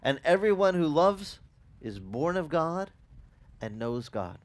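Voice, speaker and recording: Man in his forties, pastor, Italian first language, slight Italian accent, lively swooping pitch, somewhat high voice, casual outdoor sermon, headset mic. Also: slow. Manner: animated, conversational, asks the listeners questions. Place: outdoors on a sunny beach.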